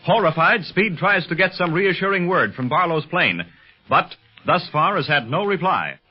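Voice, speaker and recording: A male announcer narrates a 1930s radio serial with dramatic, animated delivery. His slightly high voice is husky, and the recording is dull with faint music. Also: normal speed.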